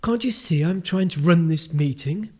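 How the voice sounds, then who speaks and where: A man's voice: a little high, normal speed, mellow, lightly acted. A man playing a fussy, easily flustered village vicar in a radio drama. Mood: officious.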